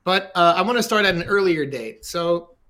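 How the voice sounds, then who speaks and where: A man's voice: sits high, lively intonation, normal speed, pushed and bright. A man hosting a podcast, chatting casually and asking questions in a rambling, conversational way. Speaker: podcast host.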